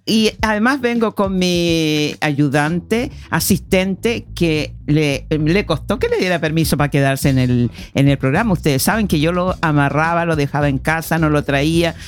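A woman's voice: low voice, normal speed, few pauses. A woman co-hosting a radio show in a theatrical, joking manner. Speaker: longtime radio co-host. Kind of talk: casual radio chat.

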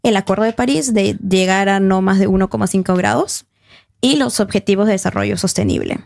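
A woman's voice: medium pitch, expressive, a little fast, creaky, a little mellow. A young woman on a discussion panel, speaking, thoughtful and hesitant, with many fillers and self-corrections. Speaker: panel guest. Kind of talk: academic discussion.

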